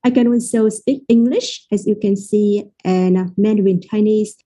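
A woman's voice: mid-range voice, fairly even intonation, moderate pace, warm tone. A woman with a Vietnamese accent, a native Vietnamese speaker, teaching the language in English with careful explanations. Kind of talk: explaining pronunciation in a lesson.

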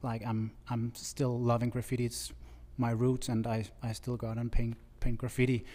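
A man in his thirties from Denmark with a Danish accent, chatting as a guest artist in a relaxed, halting way. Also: medium pitch, long reverb tail. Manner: relaxed, halting, laughs easily. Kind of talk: casual interview chat. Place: large bright studio, indoors. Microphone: stand mic with headphones.

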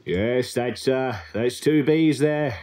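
A man's voice: medium pitch, slow, a little mellow, lightly acted. A man voicing a pony who hosts a TV game show, with a hesitant delivery. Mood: genial, composed. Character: stammering male pony TV game show host.

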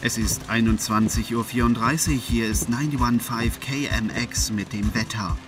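An actor playing a radio presenter who announces weather and songs in an audio drama. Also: male voice, medium pitch, steady tone, normal speed, close to an everyday voice. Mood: upbeat announcing. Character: smooth-talking male radio weather presenter and DJ. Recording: distant car radio with music behind.

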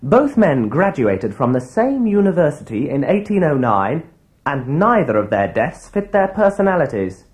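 An actor playing a keen, confident schoolboy detective in a read-along audio drama. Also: male voice, on the high side, big pitch swings, normal speed, a little mellow. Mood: earnest, determined.

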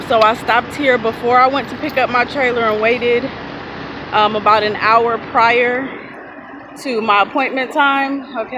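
A woman's voice: fairly high pitch, noisy background. A woman in her thirties, a truck driver, chatting casually and rambling to her phone camera outdoors in a parking lot. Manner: rambling, chatty, loses her train of thought. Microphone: phone at arm's length.

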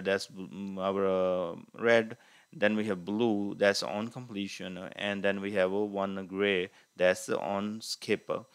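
A man with a fairly low voice gives an informal software walkthrough. He explains step by step as a tutorial presenter, haltingly and with hesitation, often correcting himself. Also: smooth. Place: voice-over on a screen recording.

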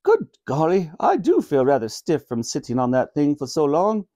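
A man's voice: fairly high pitch, huge pitch swings, moderate pace, dark tone. A man doing a fussy old granny. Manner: genteel, old-fashioned exclamations.

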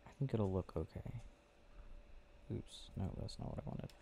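Young male ASMR boyfriend, intimate and casual, mellow with a gravelly fry, faint noise and clicking behind. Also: low voice. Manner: intimate, unhurried, murmuring to a listener close by. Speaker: ASMR roleplay performer. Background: clicking and game ambience.

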